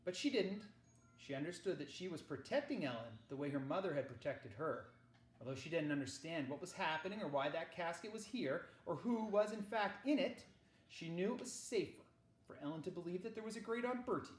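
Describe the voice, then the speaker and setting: A man reading a children's novel aloud as narrator, with measured, dramatic phrasing. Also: on the high side, animated. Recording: echoey, roomy sound.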